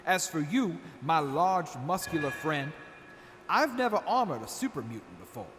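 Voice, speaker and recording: A man playing a proud, showy master armorer in an audio drama, talking like an assured, flattering salesman. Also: fairly high pitch, normal speed. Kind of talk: post-apocalyptic audio drama acting. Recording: long hall reverb, faint background noise.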